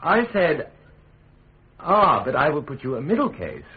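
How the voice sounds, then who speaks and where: An actor playing a nervous, evasive witness giving hesitant, subdued testimony in a radio drama. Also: male voice, a little high, big pitch swings, a little slow, narrow, tinny audio. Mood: nervous, reciting. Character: uneasy, evasive male witness, ambitious perjurer.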